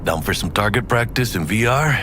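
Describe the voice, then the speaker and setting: An actor playing a streetwise, easygoing gangland mercenary in a video game, gravelly, slangy and cocky, with a Mexican-American accent. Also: male voice, fairly low pitch, lively intonation, a little fast, background noise, lightly acted. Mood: relaxed, confiding. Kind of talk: casual game dialogue.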